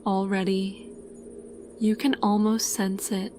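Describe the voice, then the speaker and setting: A woman narrating a scripted guided sleep meditation in a calm, soothing, unhurried manner. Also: medium pitch, slight background noise.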